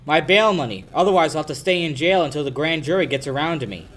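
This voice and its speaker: Young man playing a hapless, animated lawyer. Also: a little high, fast talker. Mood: pleading. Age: young adult. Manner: flustered, earnest. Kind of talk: 1940s radio play acting.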